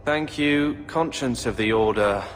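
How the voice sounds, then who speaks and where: An actor playing a guarded, prickly young brother in a fantasy video game, with a blunt, skeptical manner. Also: male voice, mid-range voice, measured pace, natural voice. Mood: solemn reading. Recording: long reverb, music behind. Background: music.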